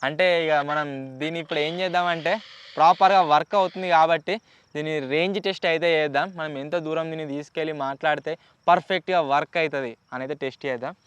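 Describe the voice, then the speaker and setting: A man's voice: a little high, fairly even intonation, fast, fairly bright tone, clear, resonant tone. A man with a Telugu accent hosting a casual unboxing vlog, chatty and explanatory, mixing in English words. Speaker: YouTube host.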